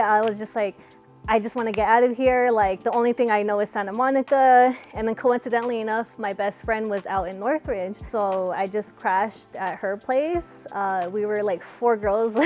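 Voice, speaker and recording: A young woman from California answering interview questions in a relaxed, chatty way, with lots of fillers. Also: a little high, normal speed, soft background music. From California, USA. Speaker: interviewee, fighter.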